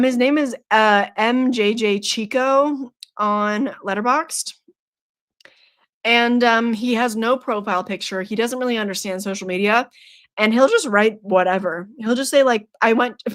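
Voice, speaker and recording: A woman's voice: fairly high pitch, a bit bright. A young woman hosting a live stream, chatting casually with her viewers, playful and teasing, laughing often. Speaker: streamer hosting a horror trivia night.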